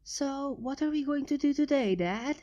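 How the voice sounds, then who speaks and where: Picture a small, curious dragon girl whose voice jumps up high, eager and questioning, as a fanfiction reader puts her on. Her tone is soft and mellow. It is storybook reading, not a studio drama. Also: moderate pace, lo-fi sound, close to an everyday voice. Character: little female dragon child, eager and curious.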